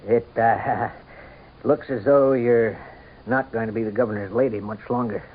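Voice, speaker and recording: An actor playing a weary, principled governor and husband in a radio drama, speaking earnestly and with resignation. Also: male voice, mid-range voice, fairly even intonation, measured pace, lightly acted. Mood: resigned. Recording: muffled, noisy old radio broadcast.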